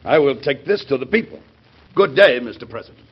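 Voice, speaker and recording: A man's voice: mid-range voice, very animated, fairly quick, loud music behind the voice. A man playing a defiant, principled government critic in an old radio drama, speaking in a formal, clipped, righteous way. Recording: muffled vintage radio broadcast.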